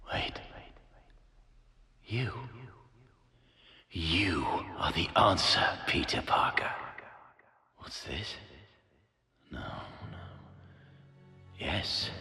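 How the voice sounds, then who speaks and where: An actor playing an intense, weary middle-aged male scientist in a video game cutscene, speaking in a measured, halting, dramatic way. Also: on the low side, creaky, sharp tone, exaggerated voice. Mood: feverish discovery. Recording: long reverb, faint music behind.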